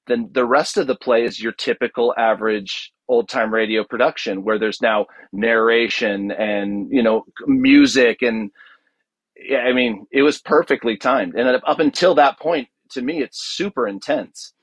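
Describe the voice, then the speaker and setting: A man's voice: medium pitch, moderate pace, dry recording. A man speaking casually as an interview guest, an enthusiastic storyteller who often stops and restarts his sentences. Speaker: podcast guest, audio drama producer.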